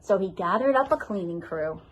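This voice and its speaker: A woman's voice: mid-range voice, lively intonation, normal speed, noisy background. A woman reading a children's storybook aloud expressively, as a school assistant principal addressing her pupils.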